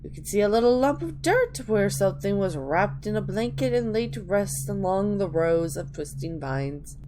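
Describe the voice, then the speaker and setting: A woman's voice: mid-range voice, animated, moderate pace, dark tone, natural voice. A woman voicing a sinister, rambling old woman who reminisces cloyingly in a dramatic reading. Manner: cloying, calls listener dearie. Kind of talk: dramatic reading of a game journal. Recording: clearly noisy home recording.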